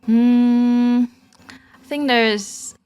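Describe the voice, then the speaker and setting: A woman's voice: fairly high pitch, little pitch movement, very slow, darkish tone, clean tone. A young woman from Singapore with a Singaporean English accent, a guest on a live podcast, talking casually with many fillers and restarts. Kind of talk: casual chat. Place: live podcast in a small London venue.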